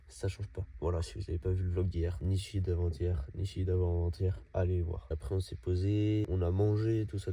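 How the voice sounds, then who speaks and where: A man's voice: low-pitched, mellow. A young man vlogging in a casual, rambling way, stuffy with a cold and a morning voice. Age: young adult. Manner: rambling, chatty, filler-heavy. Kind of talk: casual vlog narration.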